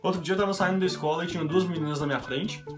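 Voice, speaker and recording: Young man, Brazilian vlogger, chatty anecdotes, slightly high voice, guitar music behind. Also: fairly even intonation, rapid-fire. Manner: chatty anecdotal, quoting others in his own voice. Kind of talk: casual storytelling vlog. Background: background guitar music.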